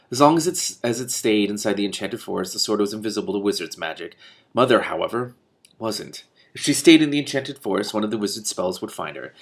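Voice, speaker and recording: A man reads a storybook aloud at a quick clip. His voice sits a little on the low side, and he works as both reader and commentator. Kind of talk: expressive book read-aloud with off-script commentary.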